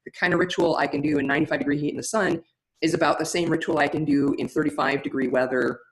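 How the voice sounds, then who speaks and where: A woman with a big, loud voice teaching in a webinar, talking through ideas in a chatty way with frequent restarts. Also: low voice, steady tone, fast, rough recording. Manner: chatty, many restarts and fillers. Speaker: ritual facilitation teacher. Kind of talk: webinar teaching, answering a question.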